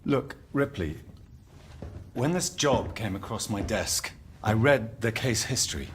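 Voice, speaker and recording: An actor playing a polite company executive in a video game, measured, courteous and gently persuasive. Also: male voice, lowish voice, expressive, slight background noise. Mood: calm, persuasive.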